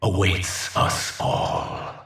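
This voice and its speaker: An actor playing a grandiose alien villain in a video game, a zealous disciple of a dark master, delivering theatrical monologues in a pompous, menacing, gravelly voice. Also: male voice, roomy sound, far from the mic. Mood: brooding, grand. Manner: slow, pompous, menacing, reverent toward master.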